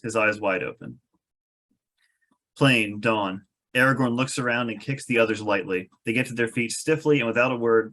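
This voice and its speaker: A man's voice: fairly low pitch, bright, forceful tone. A man reading out stage directions as narrator at a fantasy script table read, with a wry manner.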